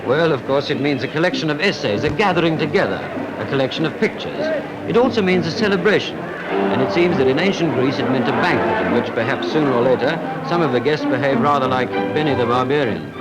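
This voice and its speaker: A man's voice: mid-range voice, animated, fairly quick, over a noisy crowd, low-quality recording. A man with a Received Pronunciation British accent reads scripted 1940s newsreel narration in a formal, wryly humorous manner. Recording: vintage 1940s film soundtrack with music. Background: background music.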